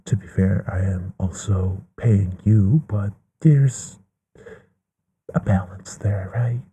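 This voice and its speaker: A man playing a courtly, bookish, gently affectionate vampire in an intimate ASMR bedtime roleplay, musing in a breathy hush that often slips into a whisper. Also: on the low side, heavily acted. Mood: tender, soothing. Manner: musing, genteel, unhurried with pauses.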